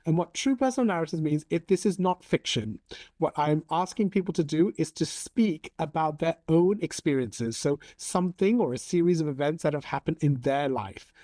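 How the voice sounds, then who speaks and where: Measured mellow-voiced man in his forties. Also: fairly high pitch, dry recording. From Hong Kong. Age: 40s. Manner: measured, thoughtful, many ums and restarts. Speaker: interview guest, storytelling coach. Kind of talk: conversational interview.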